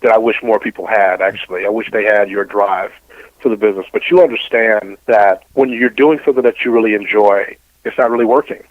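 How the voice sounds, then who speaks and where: A man's voice: on the low side, fairly even intonation, a little fast, dry recording, phone-quality sound. A middle-aged man, a radio host being interviewed, giving casual opinions and often repeating phrases as he builds a point.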